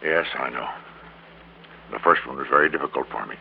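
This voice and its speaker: An actor playing a greedy, defeated middle-aged businessman in an old radio drama, confessing in a halting, resigned way. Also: very deep voice, creaky. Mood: weary, defeated. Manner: halting, resigned, repeats words for emphasis. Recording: crackly, narrow-band old radio recording.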